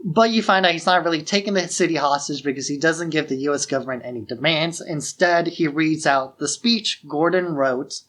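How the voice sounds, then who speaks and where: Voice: mid-range voice, moderate pace, few pauses, a bit bright. A podcast host recapping in a casual, conversational way, explaining things step by step like a storyteller. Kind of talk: casual film plot recap.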